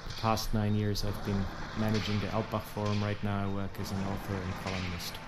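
Male interviewee, thoughtful and deliberate, slightly low voice, very noisy ambient setting with vehicles. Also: monotone. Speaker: author and columnist, former forum manager. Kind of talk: reflective interview commentary. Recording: loud ambient noise, traffic-like rumble.

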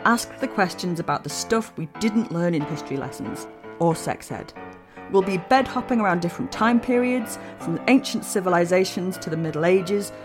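Playful woman reading a podcast promo. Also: fairly low pitch. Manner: playful, teasing. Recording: music bed behind.

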